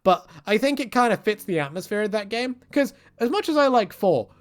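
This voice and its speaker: A man's voice: sits high, lively intonation, fairly quick. A man giving casual, joking commentary on video games in a rambling, self-deprecating, tongue-in-cheek way. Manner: swears casually.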